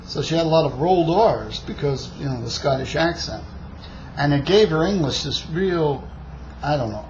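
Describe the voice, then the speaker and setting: A man's voice: fairly high pitch, a little mellow. Rambling man telling a travel story. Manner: rambling, with many fillers and asides. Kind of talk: casual anecdote. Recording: dull lo-fi recording with faint hiss.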